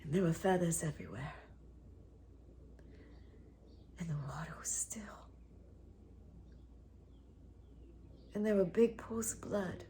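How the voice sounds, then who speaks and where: A woman playing a traumatised, lonely young woman in a theatre monologue, halting and intense, often slipping into a breathy hush. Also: on the low side, expressive, slight background noise, lightly acted. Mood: hushed, grieving.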